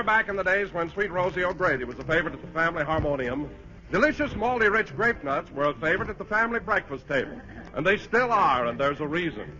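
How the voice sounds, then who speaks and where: A man's voice: high-pitched, bright, forceful tone. A male announcer in 1940s radio style, speaking in an emphatic, salesmanlike way. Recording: dull old radio broadcast recording.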